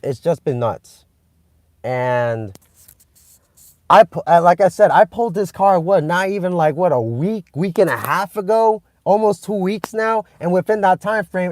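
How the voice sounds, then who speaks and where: A young man from Vietnam chatting with live-stream viewers, excitable and rambling, with many restarts and fillers. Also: on the high side, dry recording, clean studio sound. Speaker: channel host streaming live. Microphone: phone.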